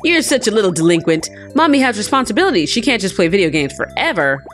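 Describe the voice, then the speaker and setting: A woman's voice: medium pitch, sing-song, fast talker, a bit bright, soft background music. A woman voicing a cute, dreamy young mermaid girl in a visual novel, chirpy and girlish. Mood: chatty, cheerful.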